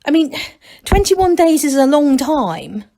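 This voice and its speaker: A woman's voice: high-pitched, big pitch swings, unhurried, dark tone, pitched above the performer's own voice, exaggerated voice. A woman voicing an earnest, insistent young male gnome in a children's storybook, plain-spoken. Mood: questioning. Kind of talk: children's storybook read aloud.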